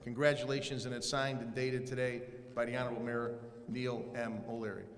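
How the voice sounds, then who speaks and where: A man at a hall podium reads a formal proclamation aloud, steady and measured. His voice rings through a large, echoing room with a long reverb. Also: mid-range voice. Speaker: mayor's representative. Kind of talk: reading a proclamation. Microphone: podium microphone.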